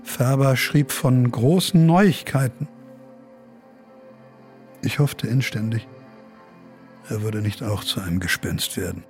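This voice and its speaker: A man's voice: mid-range voice, slow pace, long pauses, darkish tone, faint music behind, lightly acted. Actor as a brooding middle-aged academic father. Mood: brooding, resigned. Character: weary, detached academic father brooding over his son. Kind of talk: radio drama first-person narration.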